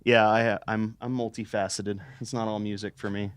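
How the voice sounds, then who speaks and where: A man's voice: on the low side, little pitch movement, moderate pace, clear, resonant tone. A young American man chatting casually as an interviewed band musician, joking, rambling and swearing. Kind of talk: casual chat.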